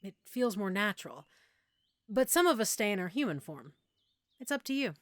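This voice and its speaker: A woman playing a friendly werewolf pack member in an intimate audio roleplay, patiently explaining and gently reassuring the listener. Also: mid-range voice, big pitch swings, moderate pace, no echo, clean studio sound, natural voice. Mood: patient, explanatory.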